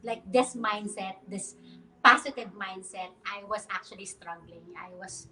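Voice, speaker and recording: A woman's voice: medium pitch, a little slow, sharp tone, low-quality recording. A woman in her thirties from the Philippines, a guest in a casual online interview who laughs often and uses many fillers.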